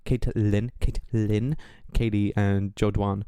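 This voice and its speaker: A man's voice: on the low side, flat delivery, slow, warm tone, clear, resonant tone, dry recording, studio quality. A man in his twenties co-hosting a podcast, chatting casually in a rambling, jokey way with plenty of swearing. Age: 20s. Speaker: podcast co-host. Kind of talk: casual chat.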